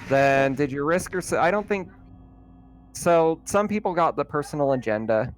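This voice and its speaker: A man with a fairly high voice leads a tabletop roleplaying group as game master. He talks in a casual, conversational way, sorting things out with the players. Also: animated, moderate pace, slight background noise.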